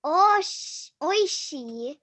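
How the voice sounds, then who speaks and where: A female amateur playing an eager old peasant wife from a folktale, full of wonder. The voice is very high and bright, delivered slowly and carefully, with small stumbles as in a read-aloud play. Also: expressive, full character voice. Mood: amazed, eager. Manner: halting, careful reading with stumbles over Japanese words. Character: poor old woodcutter's wife, amazed and eager. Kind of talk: amateur dramatic reading of a folktale.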